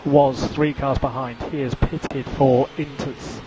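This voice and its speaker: A man's voice: mid-range voice, steady tone, slow. A man working as a race engineer, giving clipped, businesslike instructions over team radio and repeating key calls. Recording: very noisy radio link, engine noise. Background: vehicle noise.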